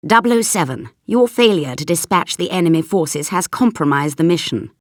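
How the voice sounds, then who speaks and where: A woman's voice: lowish voice, lively intonation, normal speed, dry recording, clean studio sound, lightly acted. A woman playing a stern, formal spy agency chief in a video game briefing. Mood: stern disappointment.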